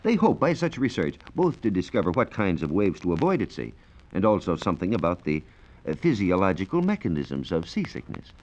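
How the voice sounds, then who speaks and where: A man explaining science in a measured, precise way as a reporter on a 1940s radio program. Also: low voice, huge pitch swings, darkish tone. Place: radio studio. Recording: dull, noisy 1940s radio recording.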